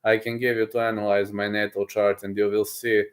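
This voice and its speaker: A man, a streamer chatting casually with his viewers, boastful and excitable, often repeating himself. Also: fairly low pitch, brisk. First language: Serbian. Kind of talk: casual livestream chat, reading messages aloud.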